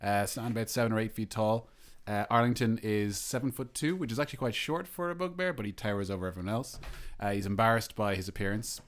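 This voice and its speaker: A man's voice: on the low side, lively intonation, quick pace. A man, a player in a tabletop game, describing his character in jokey, casual chat. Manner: jokey, laughing banter.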